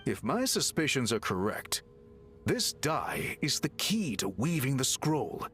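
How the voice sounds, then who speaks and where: Scholar of old scrolls, formal, measured explainer, lively pitch, faint game music. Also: male voice. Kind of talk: game dialogue.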